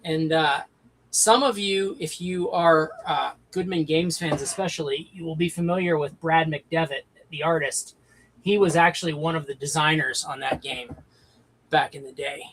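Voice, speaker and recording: A man in his early fifties talks in a casual, conversational way on a panel stream. His voice sits somewhat high for a man, and there is noticeable background noise on the recording. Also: fairly even intonation, moderate pace, a bit bright, poor audio. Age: early 50s. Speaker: game designer on a livestream panel. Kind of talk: casual chat.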